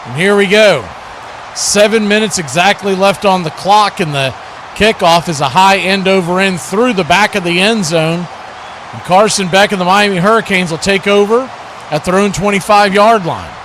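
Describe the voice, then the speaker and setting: A man's voice: sits high, normal speed, a bit bright, a little hiss or hum. A man hosting a live stream, giving play-by-play commentary on American football. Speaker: play-by-play sports host.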